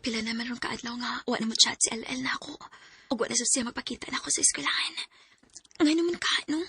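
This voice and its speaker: A woman's voice: a little high, quick pace, loud music behind the voice, lightly acted. A woman acting a lovestruck young student, hurt yet forgiving, in a Bisaya radio drama with a Cebuano Filipino accent. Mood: anxious, hurt. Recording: noisy radio drama audio with clicks.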